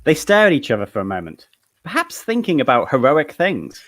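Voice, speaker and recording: A man's voice: mid-range voice, wildly swooping pitch, a little fast, a bit bright. A man narrating stage directions at a comedic table read of a film script.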